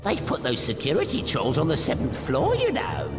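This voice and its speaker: Creaky, swooping prankster spirit. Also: male voice, fairly high pitch, wildly swooping pitch, moderate pace. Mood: taunting. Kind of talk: video game voice acting. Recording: long hall reverb, music behind.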